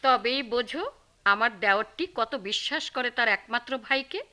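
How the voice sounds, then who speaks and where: A woman's voice: on the high side, normal speed, pushed and bright, clean tone. A woman playing a fair-minded, protective middle-aged village wife and mother in a radio drama, reproachful, with a Bangladeshi accent. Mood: defending. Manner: reproachful, defending.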